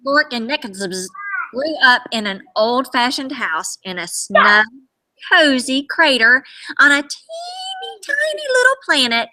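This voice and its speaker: A woman with a bright, fairly high voice talks to young children at an unhurried pace. She is encouraging and full of exclamations, like a school librarian leading story time. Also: poor audio. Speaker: school librarian hosting a remote read-aloud. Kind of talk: read-aloud to young children.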